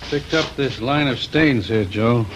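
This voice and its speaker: An actor playing a calm crime-lab technician in a 1950s radio drama, terse and clinical. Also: male voice, medium pitch, measured pace, close to an everyday voice. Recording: faintly noisy old radio recording.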